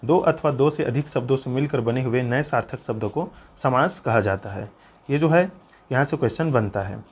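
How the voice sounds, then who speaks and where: A man's voice: mid-range voice, moderate pace, breathy edge. A man teaches an exam-preparation lesson, explaining notes aloud in an instructive way and pressing listeners to remember key points.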